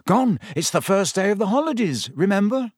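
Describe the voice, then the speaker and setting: A blunt teenage schoolboy, voiced by a man narrating a storybook, with a raised pitch. The character is excitable and emphatic, a loyal friend who says things straight. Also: brisk, dry recording, clean studio sound. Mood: casual. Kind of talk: audiobook character voice.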